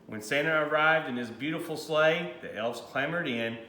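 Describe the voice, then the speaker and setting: A man reading a rhyming children's storybook aloud in an expressive, animated way. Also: fairly high pitch, normal speed. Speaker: high school principal reading a picture book aloud. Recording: roomy echo, faint background noise.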